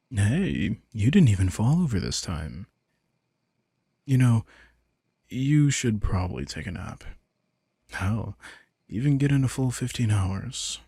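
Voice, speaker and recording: A man playing a playful, smug vampire in an intimate roleplay audio drama, casual, sardonic and teasing, with a husky, gravelly voice. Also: fairly low pitch, a little mellow, no room sound, clean studio sound, full character voice. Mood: gentle, coaxing. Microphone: close, dry studio microphone.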